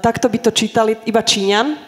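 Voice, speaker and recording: A woman's voice: a little high, fairly even intonation, fast talker. A woman at a workshop, sharing a personal story in a candid, wry way. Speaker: workshop participant.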